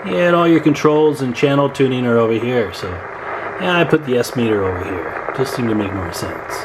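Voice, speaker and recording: A man's voice: medium pitch, expressive, a little fast. A man giving an informal, folksy product showcase as a hobbyist builder, talking from behind a handheld camera indoors. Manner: informal, folksy, asks rhetorical questions. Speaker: hobbyist radio builder presenting own work. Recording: noisy room recording on handheld camera.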